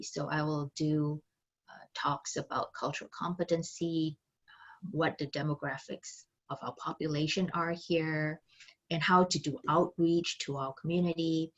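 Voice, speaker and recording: Lower-voiced woman presenting casually. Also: fairly even intonation, moderate pace, muffled recording. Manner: conversational, many ums, trailing off at phrase ends. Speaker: chamber staff presenter. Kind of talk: virtual orientation talk.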